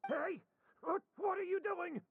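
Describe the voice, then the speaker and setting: An actor playing a wry man in a video game, with a gravelly, husky voice. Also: very high voice, a little slow, phone-quality sound, a crowd in the background. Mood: agitated.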